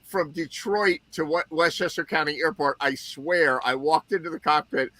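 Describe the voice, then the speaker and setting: A man whose first language is German, co-hosting a casual chat show and telling stories in an animated way, with lots of restarts. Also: on the high side, moderate pace, no room sound. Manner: animated storytelling with frequent restarts.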